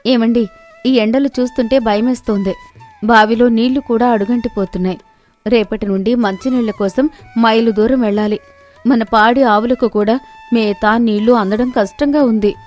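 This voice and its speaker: A woman's voice: fairly high pitch, fairly even intonation, moderate pace, darkish tone, soft background music, natural voice. A woman voicing a worried, dutiful village farmer's wife in a story, with a plaintive manner.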